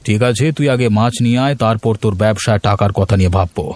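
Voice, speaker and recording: A man's voice: on the low side, a little fast, a little mellow, no echo, natural voice. A man playing a shrewd, teasing village headman in a cartoon moral story. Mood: suspicious, teasing.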